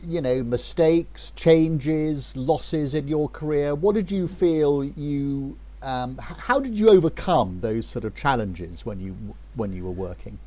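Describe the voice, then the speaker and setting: Lively mellow-voiced male host. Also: fairly high pitch. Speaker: podcast host and company co-founder. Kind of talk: interviewing.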